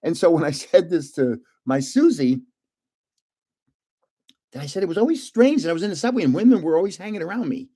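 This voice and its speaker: Grandfatherly stream host, 70s, animated storyteller with a booming laugh-filled delivery and lively pitch. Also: a little fast, long pauses. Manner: animated, laughs often, rambling anecdotes; self-described booming voice when yelling. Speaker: livestream host opening trading cards. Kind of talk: casual storytelling chat.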